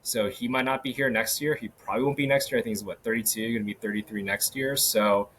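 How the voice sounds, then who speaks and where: A man hosting a solo sports podcast, talking casually with many fillers and restarts, his voice a little hoarse. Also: mid-range voice, steady tone, quick pace, a bit bright, a little hiss or hum. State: slightly hoarse. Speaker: podcast host. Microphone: podcast microphone.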